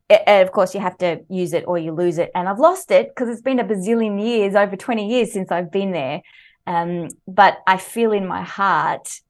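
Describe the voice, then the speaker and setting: A woman with an Australian accent, a guest author on a podcast, telling personal stories animatedly and laughing easily. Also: fairly low pitch. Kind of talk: conversational interview.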